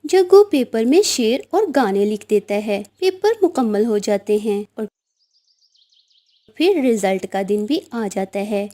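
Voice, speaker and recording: A woman narrator reads a scripted story for children. Her voice is very high in pitch and mellow in tone. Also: expressive, measured pace.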